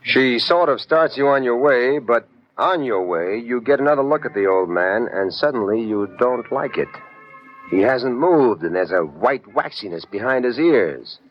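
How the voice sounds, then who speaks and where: A man's voice: mid-range voice, animated, moderate pace, faint music behind, lightly acted. A man playing a wry, businesslike hard-boiled detective in an old-time radio drama, at times narrating his own case. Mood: wry, intent. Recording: dull vintage radio recording.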